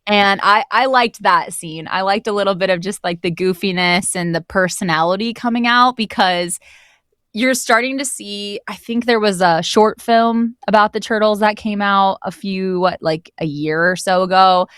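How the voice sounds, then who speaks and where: A woman's voice: mid-range voice, normal speed, a bit bright, clean tone, no room sound, studio quality. A woman who narrates audio drama, chatting casually and enthusiastically as a guest, reflecting on her work. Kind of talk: casual conversational interview.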